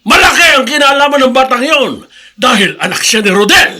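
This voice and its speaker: A man playing a stingy, bitter old man in a radio drama, scolding with a harsh, sharp tongue. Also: very high voice, expressive, fairly quick, full character voice. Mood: bitter anger. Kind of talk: Filipino radio drama acting.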